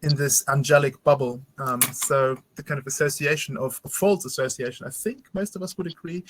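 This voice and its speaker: A man's voice: mid-range voice, a little fast, a bit bright, slight background noise, low-quality recording. A man moderating an academic panel discussion, asking reflective, articulate questions and often hedging with "kind of". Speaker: conference moderator.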